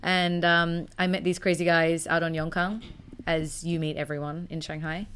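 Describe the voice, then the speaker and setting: A woman's voice: lowish voice, flat delivery, moderate pace, fairly bright tone, smooth. A young woman from Australia, a podcast guest, chatting in a self-mocking way and laughing often. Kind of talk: casual chat.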